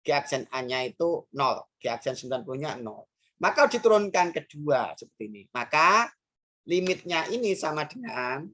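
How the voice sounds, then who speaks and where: A woman's voice: low voice, fairly bright tone. A woman teaching math, talking through each step aloud with rhetorical questions and frequent hesitations. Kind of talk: math lesson explaining worked examples.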